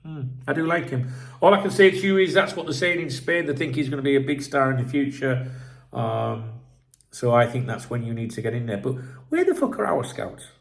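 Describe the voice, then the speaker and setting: A man's voice: medium pitch, animated, brisk, creaky. A man with a blocked nose hosting a casual football commentary video, rambling and frank, swearing freely. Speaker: football channel host.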